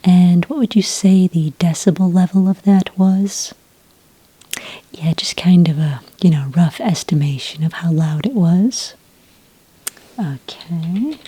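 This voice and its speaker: A woman speaking in a whispery, breathy soft voice, calm and methodical, as an agent in an ASMR roleplay, close to the microphone. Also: fairly low pitch, dry recording. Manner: calm, methodical questioning with gentle pauses. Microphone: close microphone in a dry room.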